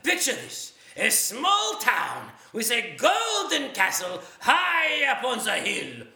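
An actor playing a boastful male painter who narrates a children's musical, with a gravelly, theatrical voice that teases the listeners. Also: medium pitch, huge pitch swings, slow pace, bright, forceful tone, reverberant, full character voice. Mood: storytelling. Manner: grandiose, teasing, addresses listeners.

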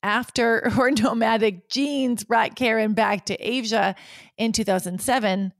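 A woman's voice: fairly high pitch, steady tone, measured pace, no echo, studio quality. A woman hosting a podcast interview, upbeat and laughing easily as she introduces her guest and asks questions. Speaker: podcast host.

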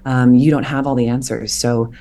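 Woman, thirties, voice actor guest, earnest, reflective, animated at times. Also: rumbling low, normal speed. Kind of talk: interview conversation.

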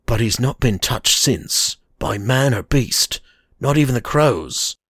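A man's voice: medium pitch, animated, slow, breathy edge, no room sound, clean studio sound, heavily acted. A man voicing an earnest middle-aged country doctor with an urgent, confiding manner. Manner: urgent, then hushed and confiding.

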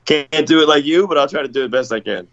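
A man chatting casually and haltingly as a guest, with a deferential way of talking. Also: a little high, fairly bright tone, no echo. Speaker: professional wrestler, guest on a wrestling show. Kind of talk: casual chat. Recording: slightly dull, lo-fi sound.

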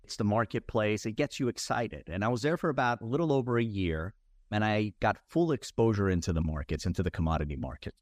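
A man's voice: lowish voice, quick pace, no echo, studio quality. A man around forty, from Turkey with an American accent, telling his career story conversationally as an interview guest. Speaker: podcast guest, finance executive.

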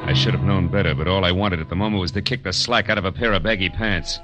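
Hard-boiled private eye male actor, deep voice, wry slangy delivery, vintage radio drama with strong music behind. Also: steady tone, quick pace, natural voice. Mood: dry, rueful. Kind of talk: 1940s radio acting, first-person noir narration. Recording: old radio broadcast recording.

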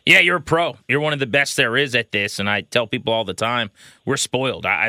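A man's voice: medium pitch, pushed and bright, dry recording, clean studio sound. A man co-hosting a sports talk show, speaking conversationally in an emphatic, opinionated way.

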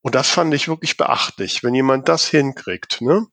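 A man's voice: mid-range voice, lively intonation, normal speed, fairly bright tone, no room sound. A man hosting a casual podcast conversation, often hesitating and searching for his words. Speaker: podcast host.

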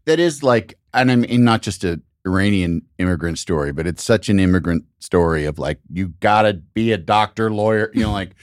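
A man's voice: low voice, lively intonation, fairly quick, hardly any pauses, dry recording, studio quality. A middle-aged man hosting an interview podcast, asking questions and chiming in with constant encouraging agreement. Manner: encouraging, frequent backchannel agreement. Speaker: podcast host. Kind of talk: casual interview chat.

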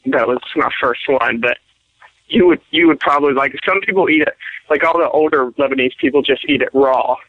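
A man's voice: fairly high pitch, brisk, dry recording, phone-quality sound. A young man from West Virginia chatting casually, loose and rambling, with many fillers. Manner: laid-back, lots of "like" and "um". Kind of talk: casual chat.

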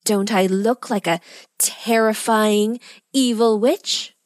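A woman voicing a lonely old woman who acts like a threatening witch, loud and angry at first, then quiet and sad. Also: medium pitch, steady tone, slow, dry recording, studio quality, lightly acted.

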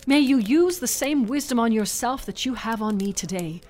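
A man putting on a high pleading village woman. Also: moderate pace, a little mellow. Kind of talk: storybook narration.

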